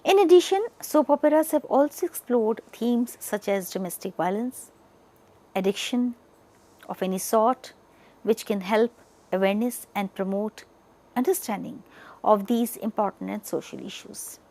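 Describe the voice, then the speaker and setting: A woman giving an educational lecture, partly reading from notes, speaking deliberately in short, emphatic chunks. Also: medium pitch, dark tone.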